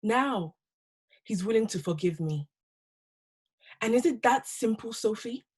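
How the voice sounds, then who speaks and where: A woman performing a dramatic stage monologue as a wounded, bitter wife, with deliberate, halting, impassioned delivery. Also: on the high side, expressive, slightly rough. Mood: anguished, doubtful. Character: wounded, bitter wife who survived violence and rejection.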